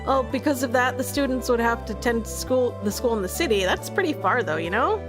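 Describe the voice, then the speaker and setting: A woman's voice: a little high, expressive, a little fast, over music, lightly acted. A woman voicing a brash, easygoing tomboy teenage schoolgirl in a story game, with a casual drawl. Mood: explaining.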